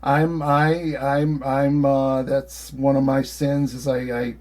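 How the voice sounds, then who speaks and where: A man's voice: mid-range voice, flat delivery, takes it slowly, a little mellow. A man in his sixties talking casually as a radio operator, in a friendly, hesitant, meandering way. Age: 60s. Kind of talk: casual chat over ham radio.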